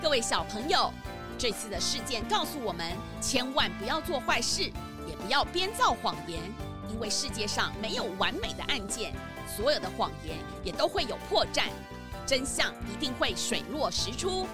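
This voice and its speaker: A woman narrating a children's story in a lively, sing-song storytelling manner. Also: a little high, moderate pace, over music.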